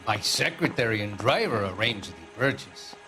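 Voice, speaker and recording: A man's voice: on the low side, animated, moderate pace, pushed and bright, poor audio. A male actor playing a haughty, indignant Argentine consul general with a Spanish-speaking Latin American accent and formal, imperious diction in a video game. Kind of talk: dramatic video game acting. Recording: background music under dialogue.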